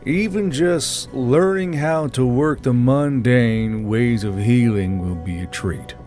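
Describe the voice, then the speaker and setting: A man voicing a weary, matter-of-fact leader who murmurs in a measured way in a fantasy story. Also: mid-range voice, darkish tone, faint music behind, close to an everyday voice. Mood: patient, weary.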